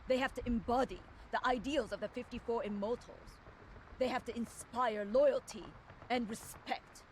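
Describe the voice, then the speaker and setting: A woman's voice: fairly high pitch, steady tone, normal speed, darkish tone, a little hiss or hum, lightly acted, low-quality recording. An actress playing a proud, fierce young woman enforcer, delivering a solemn, controlled dramatic monologue for a video game audio log. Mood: resolute.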